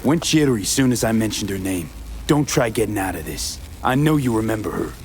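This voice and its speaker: A man's voice: on the low side, expressive, fairly quick, darkish tone, faint music behind. A man playing a cool, determined mercenary in a video game, speaking in a blunt, terse, controlled way. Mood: insistent, accusing. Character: cool, determined male mercenary, former nomad. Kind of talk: video game character acting.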